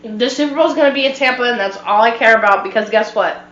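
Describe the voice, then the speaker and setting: A woman's voice: fairly high pitch, fast, fairly bright tone. A woman co-hosting a casual home podcast, talking informally. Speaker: podcast co-host. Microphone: shared podcast microphone. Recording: muffled roomy podcast mic, background hum.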